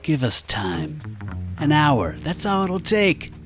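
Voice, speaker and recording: A man's voice: on the high side, huge pitch swings, takes it slowly, full character voice. A man playing a menacing bald biker thug in an audio drama, cocky and cruel, talking in sneering street-tough slang. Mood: cold menace. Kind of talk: radio-style audio drama acting. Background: music.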